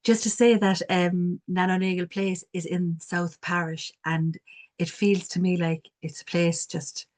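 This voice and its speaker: A woman's voice: fairly low pitch, flat delivery, a little slow, darkish tone. Cork woman with a strong accent. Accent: strong Cork Irish. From Cork, Ireland. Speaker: community development worker. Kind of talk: online panel talk. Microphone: video call.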